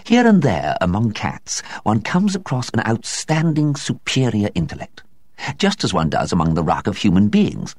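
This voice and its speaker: A man's voice: lowish voice, wildly swooping pitch, normal speed, no echo, studio quality, voice pushed up. A man doing a pompous lecturing scientist. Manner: patient, lecturing, self-satisfied. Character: pompous patient gentleman scientist, middle-aged man. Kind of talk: storybook reading.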